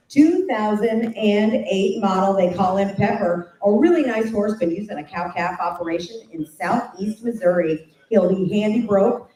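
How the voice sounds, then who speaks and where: An American woman announces lots at a horse auction, with a middling pitch. She reads out pedigrees and descriptions. Her voice comes from some distance through an echoey sale barn PA. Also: mellow. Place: large indoor livestock sale barn.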